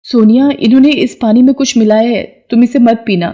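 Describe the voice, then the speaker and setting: A woman's voice: on the high side, fairly even intonation, brisk, warm tone, muffled recording, pitched above the performer's own voice, lightly acted. A woman voicing a meek, earnest young village girl in a dramatised moral story, speaking in a pleading way. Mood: worried.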